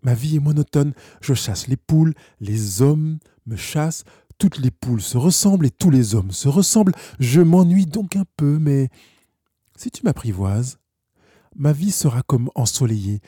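Guy reading as a lonely wild fox from a storybook, soft mellow tone, super smooth dry sound, gentle and patient. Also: mid-range voice, animated, unhurried, dry recording. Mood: wistful, hopeful. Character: wistful, lonely wild fox longing to be tamed.

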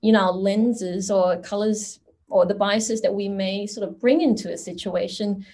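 A woman's voice: mid-range voice, fairly even intonation, warm tone. Thoughtful woman from Singapore. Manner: thoughtful, measured, with frequent "you know". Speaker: guest. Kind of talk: conversational interview.

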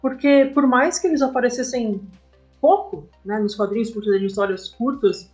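A Brazilian woman speaking as an interview guest, explaining her creative work casually and thoughtfully in short, halting phrases. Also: on the high side, darkish tone. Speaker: interview guest, comic artist and game writer. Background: background music.

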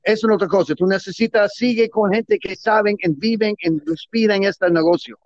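A man with a high voice speaks enthusiastically in broken, halting Spanish that is not his first language. The sound is dry and a little dull, with no room echo. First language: not Spanish; Spanish is his second or third language. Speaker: guest, cigar businessman. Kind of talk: closing remarks in a casual interview.

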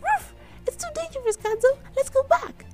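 A woman voicing a small, excitable talking puppy who yaps in a squeaky voice and starts his lines with a bark. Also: takes it slowly, soft background music, full character voice. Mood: alarmed.

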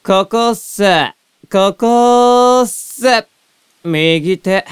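A laid-back little spirit, voiced by a woman, drawling extremely slowly in a very smooth, dry-recorded voice. Deadpan and laconic audio drama acting. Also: on the high side, no room sound. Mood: unimpressed.

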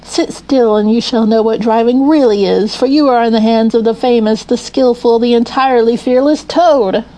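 A woman's voice: fairly high pitch, normal speed, dark tone, natural voice, poor audio. A woman voicing a boastful, reckless male toad in a storybook, crowing in grandiose, self-admiring declamation. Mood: reckless boasting. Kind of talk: children's storybook character voice.

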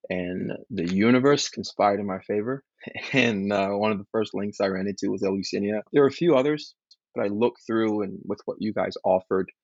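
A man from Liberia, a podcast guest, talking in an earnest, measured way about grief and healing. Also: low-pitched, vocal fry, breathy edge, no echo. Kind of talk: reflective interview talk. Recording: dull lo-fi call audio.

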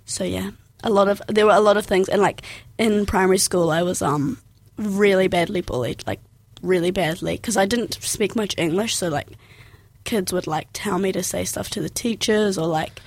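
A woman's voice: medium pitch, normal speed, no echo. A young woman with a New Zealand accent whose first language is Arabic, talking casually and filling her sentences with "like". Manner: rambling, fills with "like" constantly. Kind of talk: casual personal chat.

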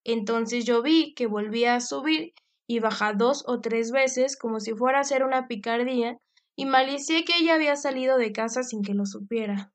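A woman's voice: fairly high pitch, moderate pace, natural voice. A woman voicing a polite adult manservant who speaks formally and deferentially. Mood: courteous.

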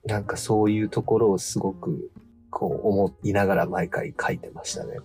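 A man talking casually as a radio guest, explaining his writing in an informal, halting way. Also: lowish voice, fairly even intonation, gravelly, a little mellow, faint music behind. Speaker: radio drama writer, studio guest.

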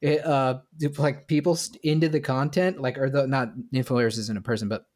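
A man's voice: medium pitch, steady tone, brisk, a little mellow. A man from the northeastern United States chatting casually in a hesitant, apologetic way. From Vermont, United States. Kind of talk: casual chat.

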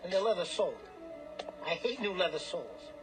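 Pushy, high-voiced gambler. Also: male voice, sing-song, brisk, over music, rough recording. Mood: demanding. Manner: brash, wheedling, slangy, bullying. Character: desperate, greedy small-time gambler, pushy and bitter. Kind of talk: radio drama acting.

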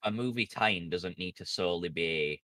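A male podcast co-host with a low voice who recaps game plots step by step. He corrects the others in a casual chat. The recording is dry and close. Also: normal speed, bright, forceful tone, no echo.

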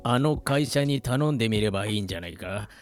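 A man playing a skeptical, hard-boiled fellow inmate who talks in a measured, rough and pointed way in a radio comedy. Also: fairly low pitch, lively intonation, faint music behind, lightly acted. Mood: cool skepticism. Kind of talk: comic radio drama acting.

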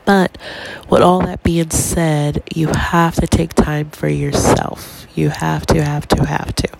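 A woman's voice: low-pitched, little pitch movement, takes it slowly, gravelly, very noisy. A young woman hosting her own podcast while congested from a cold, chatting casually and encouragingly with plenty of ums. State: congested with a cold, stuffy nose. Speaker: podcast host. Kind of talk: casual solo podcast advice.

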